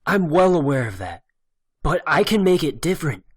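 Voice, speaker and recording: A man voicing a headstrong, incredulous adult son and reluctant hero with a sardonic manner in an animated superhero drama. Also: on the high side, normal speed, breathy edge. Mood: earnest.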